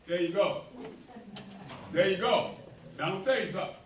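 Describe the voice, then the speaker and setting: A man presiding over a neighborhood meeting, talking emphatically and working up to near shouts as he urges the room. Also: high voice, animated, fairly quick, a little hiss or hum. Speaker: association president hosting a meeting. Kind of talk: animated speech to a community meeting. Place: community meeting hall. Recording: roomy hall, mic from a distance, faint ambience.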